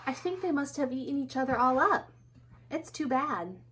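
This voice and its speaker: A woman's voice: high voice, animated, normal speed, fairly bright tone, slight background noise. A woman playing a fussy but caring very old woman in a storybook, speaking emphatically. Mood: sympathetic. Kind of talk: reader's theater character acting.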